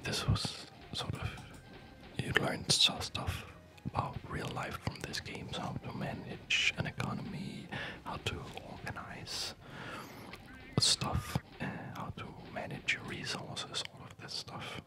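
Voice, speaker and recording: A man whispering a rambling, reflective ASMR commentary while he plays a game, with a breathy voice. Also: a little slow.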